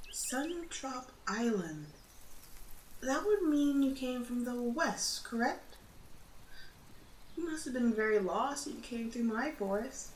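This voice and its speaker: A woman voicing a gently teasing, motherly drider, a spider woman of the forest, in an ASMR roleplay. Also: a little high. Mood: wry, curious. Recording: roomy echo, audible background noise.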